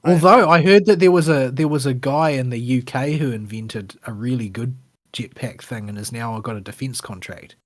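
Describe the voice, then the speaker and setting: Kiwi male co-host, thirties, slight lisp, dry quizzical banter, mid pitch. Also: moderate pace. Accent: New Zealand. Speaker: podcast co-host. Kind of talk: casual chat.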